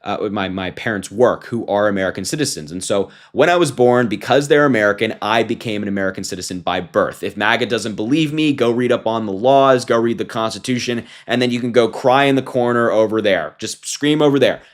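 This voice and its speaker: A man's voice: fairly low pitch, brisk, few pauses. Mocking young man talking to camera. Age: 20s. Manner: mocking, combative; informal, with fillers and restarts. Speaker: political commentator. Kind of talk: casual commentary to camera.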